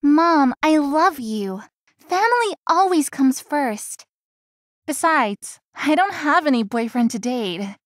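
Casting: actress for sweet, hardworking daughter in a romance visual novel, high voice, dry recording. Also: female voice, lively intonation, takes it slowly, no room sound. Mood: dutiful. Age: young adult. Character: dutiful, gentle young working daughter, playful friend.

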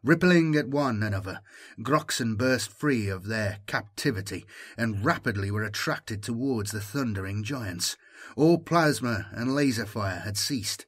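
Male audiobook narrator, husky tone, dramatic storytelling delivery. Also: on the low side, lively intonation, measured pace, a bit bright.

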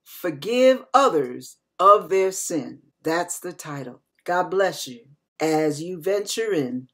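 Female minister for devotional read: unhurried, husky-edged voice, earnest and instructive. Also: on the low side, expressive, a bit bright. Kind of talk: scripted devotional sermon.

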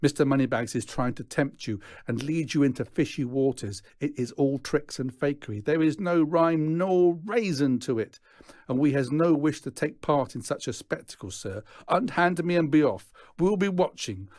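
A man's voice: fairly high pitch, moderate pace, darkish tone, no room sound, studio quality, pitched above the performer's own voice, close to an everyday voice. A man voicing a pompous, suspicious male gang boss in a children's storybook, blustering emphatically.

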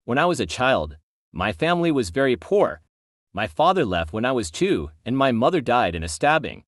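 A man playing a proud captive tech founder under questioning, deliberate and self-important, in an amateur audio drama. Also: mid-range voice, big pitch swings, dry recording, clean studio sound, lightly acted. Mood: defiant. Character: proud captive male technology company founder.

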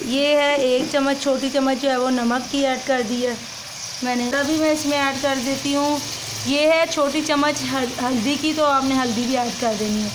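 A woman's voice: high voice, fairly even intonation, moderate pace, background noise. A young woman explaining a home recipe step by step in a chatty, casual way, recorded on a phone outdoors at home. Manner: chatty step-by-step explaining, frequent religious blessings. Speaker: home cooking vlogger. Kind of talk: casual cooking demonstration.

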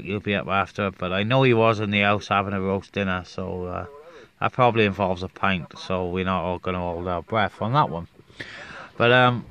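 A man chatting casually over CB radio from a parked car on open moorland, relaxed with lots of fillers. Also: fairly low pitch, dull audio. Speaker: CB radio operator and vlogger. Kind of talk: casual on-air radio chat.

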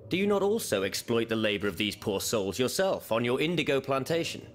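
Casting: actor as stern revolutionary idealist, medium pitch, pointed formal rhetoric, game drama, faint ambient noise. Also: male voice, very animated, a little fast, close to an everyday voice. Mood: accusing. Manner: sharp rhetorical challenging, formal. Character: stern, accusing male French revolutionary idealist. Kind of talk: game cutscene voice acting, period drama.

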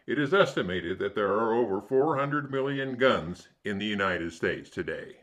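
A man's voice: lowish voice, animated, normal speed, fairly bright tone. A man playing a serious radio newsman, reading a scripted bulletin and narration in a 1940s radio drama. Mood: grave.

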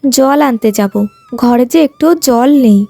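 A woman's voice: a little high, unhurried, dark tone, dry recording. A woman voicing a patient, polite young sister-in-law in a cartoon village tale. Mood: patient, pleading. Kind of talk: cartoon moral-tale voice acting.

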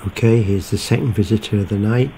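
Casting: man with a lowish voice, plainspoken field narrator, faint outdoor hiss behind. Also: fairly even intonation, fast, creaky, mellow. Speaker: pest controller narrating hunt footage. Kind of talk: calm low-key voice-over narration.

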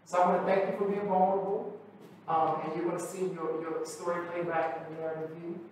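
Man hosting live theater, supportive, halting, far from the mic in a very echoey gallery. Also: high-pitched, level tone, darkish tone, a little hiss or hum. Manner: supportive, repeats words, halting. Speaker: playback theater facilitator. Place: art gallery, live audience. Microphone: far camera mic.

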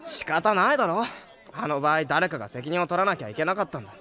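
An actor playing an earnest, hot-blooded young man who trains to be a knight in a Japanese audio drama, excitable in manner. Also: a little high, quick pace, a faint crowd. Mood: earnest, downcast.

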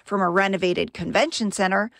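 A woman in her forties narrating a news report as an investigative reporter, in a crisp, measured delivery. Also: medium pitch, fairly even intonation, fairly bright tone, no room sound, studio quality. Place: outdoors beside a parking garage.

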